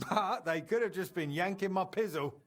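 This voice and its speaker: A man's voice: sits high, moderate pace, close to an everyday voice. An actor playing a jovial, roguish middle-aged tradesman in a video game, colloquial and teasing. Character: roguish, jovial aging tradesman reminiscing about wild youth. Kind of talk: video game dialogue acting.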